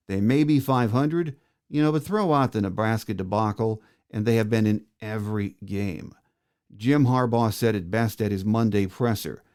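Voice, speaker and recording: A man's voice: lowish voice, a little mellow. An American man hosting a sports podcast, delivering prepared commentary and introductions. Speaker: podcast host. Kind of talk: scripted sports podcast monologue and interview.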